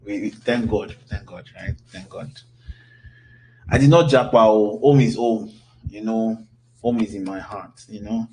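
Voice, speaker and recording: A man's voice: fairly low pitch, a little slow, darkish tone, a little hiss or hum, low-quality recording. A Nigerian man in his thirties, an instructor answering questions in a loose, chatty online class. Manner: loose, chatty, with lots of fillers and restarts.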